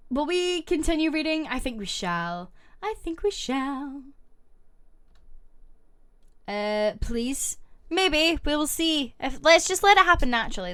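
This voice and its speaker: A woman's voice: high-pitched, pushed and bright. A woman streamer reading online stories aloud and reacting to her chat in a casual live-stream. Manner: reads posts aloud and reacts with quick asides to chat.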